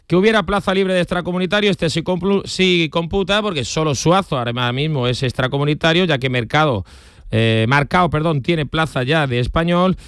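A man presents the sports news on regional radio with brisk, practiced delivery. His voice sits fairly high and comes across smooth and crisp, recorded close and dry in a studio. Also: fairly bright tone, no room sound. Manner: brisk newsreading with light banter.

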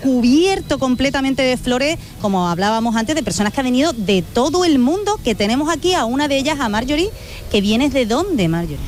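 A woman reports live from a beach bar, her voice sitting fairly high. She chats with her interviewees in a lively, easy way. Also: fairly quick. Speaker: radio reporter.

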